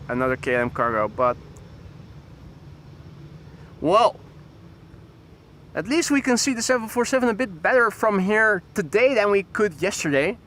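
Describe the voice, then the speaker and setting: A man's voice: a little high, sharp tone, slight background noise. A man hosting a live plane-spotting stream, chatting and greeting viewers by name as he commentates. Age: 40s.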